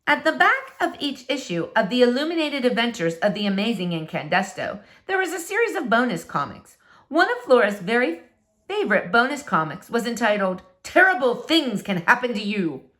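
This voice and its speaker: A woman narrating a children's storybook aloud with expressive, dramatic, deliberate phrasing. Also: on the high side. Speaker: storybook reader.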